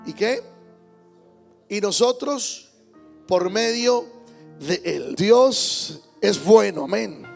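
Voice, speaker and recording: A man's voice: sits high, animated, extremely slow, vocal fry, pauses often, faint music behind. A male pastor preaching a Sunday sermon in church, emphatic, repeating key words and calling out amens. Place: church service.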